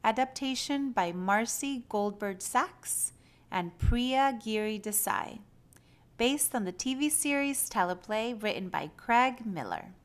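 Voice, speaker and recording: Very slow, animated woman reading to children. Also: fairly high pitch. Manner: animated, acts out animal sounds and exclamations. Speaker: children's storybook reader. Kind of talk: expressive read-aloud storytelling for young children.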